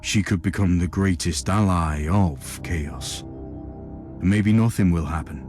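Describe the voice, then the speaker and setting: Game hero: devout warrior inquisitor, deep, solemn, resolute, light music underneath. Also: male voice, slow pace, a little mellow. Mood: solemn, reflective. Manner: solemn, stern, formal. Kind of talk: dramatic video game cutscene acting. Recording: music behind the voice.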